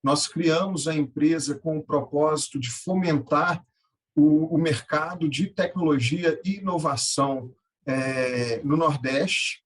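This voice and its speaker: A man, answering interview questions conversationally and haltingly, often repeating words. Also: a little high. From Minas Gerais, Brazil. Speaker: podcast guest, tech founder and angel investor.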